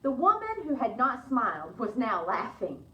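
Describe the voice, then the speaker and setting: Female library storyteller: high, very expressive, chatty with young listeners, roomy slightly muffled sound. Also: normal speed, recorded from a distance. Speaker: library storyteller reading aloud. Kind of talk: animated children's storybook read-aloud. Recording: echoey room, slightly dull sound.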